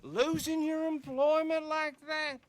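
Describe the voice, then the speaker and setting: Slow, boozy sheriff. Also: male voice, extremely high pitch, level tone, no echo. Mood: boozy, courtly. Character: slow, genial, boozy male Southern sheriff. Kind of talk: video game cutscene acting.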